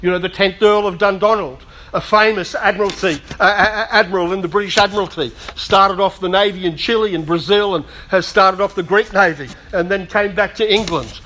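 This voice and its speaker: A middle-aged man preaching a sermon in a conversational way, with humorous asides, slightly hoarse as he is losing his voice. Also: high-pitched, a little fast, few pauses, pushed and bright. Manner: conversational, self-deprecating humour, frequent asides. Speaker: pastor.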